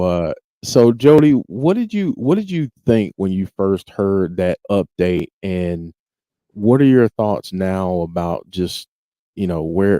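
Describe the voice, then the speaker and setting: A man's voice: low voice, dark tone, no echo. A man hosting a podcast in an easygoing casual chat, with frequent fillers. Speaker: podcast host.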